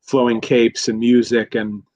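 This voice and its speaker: A man's voice: mid-range voice, flat delivery, measured pace, mellow. A man talking about films in a casual podcast discussion, rambling, with many restarts and fillers. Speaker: guest commentator. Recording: slightly dull lo-fi audio.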